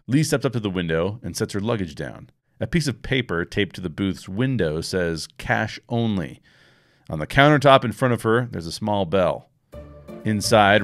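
A man in his forties reading screenplay action lines in a measured, wry way into a studio microphone in a home podcast studio. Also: lowish voice. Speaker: host and narrator. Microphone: studio microphone with headphones.

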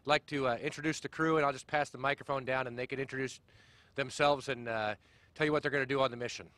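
Fast-talking middle-aged man, dry close mic. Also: medium pitch, fairly even intonation, a bit bright, dry recording. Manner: friendly, fast, many "uh" fillers. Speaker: mission commander at a press briefing. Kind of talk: outdoor press conference remarks. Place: outdoors at a launch pad. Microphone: handheld mic.

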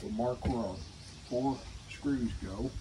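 A man's voice: medium pitch, slow pace. A middle-aged man hosting a how-to video, talking casually and thinking aloud as he works in an outdoor concrete stairwell. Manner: plainspoken, thinking aloud while working. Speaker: DIY channel host. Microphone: camera mic, sometimes far from camera. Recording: outdoor camera audio, birds and ambient noise. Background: outdoor ambience with birds.

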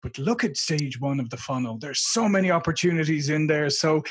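A man's voice: on the high side, expressive, a little fast, breathy edge, dry recording, clean studio sound. A middle-aged man with an Irish accent, hosting a casual video interview and laughing easily, on a video call from a room with a logo backdrop. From Ireland. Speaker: interview host.

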